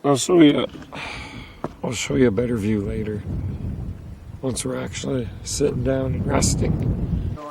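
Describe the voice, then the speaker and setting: A man with a hoarse, failing voice, chatting casually to viewers while paddling a canoe on a river. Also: medium pitch, a little slow, long pauses. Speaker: vlogger on a solo canoe trip. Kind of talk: casual talk to camera. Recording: outdoor noise of wind and water. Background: wind and water noise.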